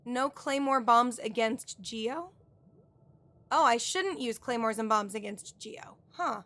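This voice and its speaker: A woman's voice: on the high side, lively intonation, takes it slowly. A young woman giving casual, playful, chatty live commentary as she plays a video game. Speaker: game streamer. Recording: loud game music behind voice. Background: video game music and combat sounds.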